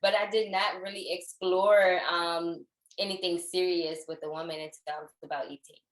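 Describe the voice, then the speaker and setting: A woman's voice: on the low side, steady tone, moderate pace, roomy sound, poor audio. A woman in her thirties, a podcast guest, talking in a laid-back way and laughing easily. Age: 30s. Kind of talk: casual chat.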